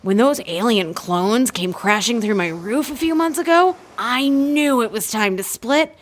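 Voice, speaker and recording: A woman's voice: a little high, animated, measured pace. An actress playing a laid-back, slangy woman castaway in a comedy audio drama, breezy as a slacker. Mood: nonchalant. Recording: faint background ambience.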